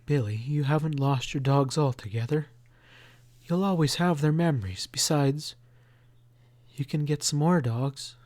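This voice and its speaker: A soft-spoken, caring farm mother, as a male storyteller puts her on, murmuring low and soothing words. Her voice is dry and close, with no room around it. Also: measured pace, no echo, natural voice. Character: gentle caring farm mother, comforting son.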